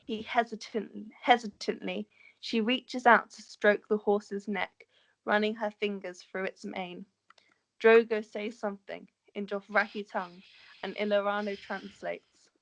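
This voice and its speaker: A woman's voice: medium pitch, steady tone, a little slow, vocal fry, low-quality recording. A woman narrating the stage directions of a script in an amateur table read, sometimes stumbling over words.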